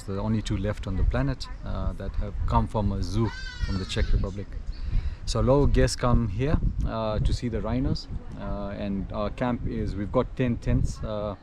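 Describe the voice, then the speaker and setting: Low-voiced man, noisy outdoor recording. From Nairobi, Kenya. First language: Gujarati. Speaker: safari camp manager. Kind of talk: interview answers, informative talk. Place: outdoors at a bush camp by a river. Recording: clear outdoor ambient noise, occasional vehicles.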